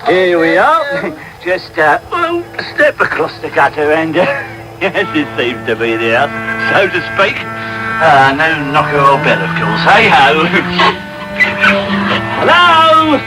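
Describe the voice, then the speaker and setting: Chatty, wry village undertaker, high lilting voice, macabre cheer, radio drama off old broadcast tape. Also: male voice, sing-song, slow pace, over loud music, low-quality recording. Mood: breezy. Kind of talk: naturalistic radio play acting. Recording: taped medium-wave radio broadcast.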